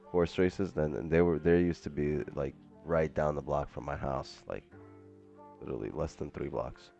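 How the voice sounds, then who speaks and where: A man with a very deep voice, raised in Brooklyn, hosts a live stream and chats loosely with his viewers. He drifts from thought to thought and fills gaps with "like." Faint music plays behind him. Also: moderate pace, vocal fry, mellow, muffled recording. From Brooklyn, New York. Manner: rambling, chatty, says "like" often. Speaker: trading stream host. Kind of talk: casual live-stream chat. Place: home, living in Miami.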